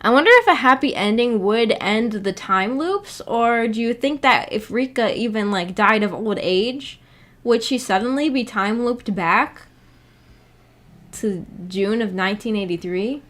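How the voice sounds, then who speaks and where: A woman's voice: a little high, expressive, unhurried, fairly bright tone. Woman chatting casually, thinking aloud. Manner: hesitant, rambling, thinking aloud. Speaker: livestream host. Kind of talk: casual livestream chat.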